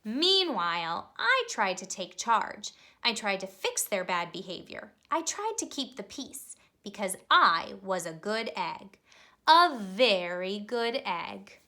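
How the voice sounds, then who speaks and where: A woman reading a children's picture book aloud, telling it expressively and pausing to ask young listeners questions. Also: medium pitch, very animated, sharp tone. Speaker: storybook reader.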